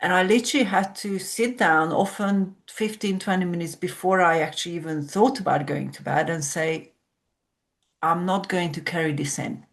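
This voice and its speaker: A woman's voice: on the low side, normal speed. A woman from Hungary, a sleep coach explaining ideas reflectively as a podcast guest. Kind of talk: podcast conversation.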